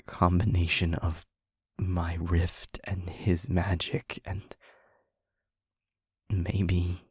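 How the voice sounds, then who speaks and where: A low-voiced, weary male demon, acted by a man in an intimate audio roleplay. He confides in a breathy half-whisper, slowly and haltingly, with earnest care. The sound is close and dry. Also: a little mellow, no echo, full character voice. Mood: grave, confiding. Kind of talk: scripted audio roleplay, intimate monologue to listener. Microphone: close microphone, dry.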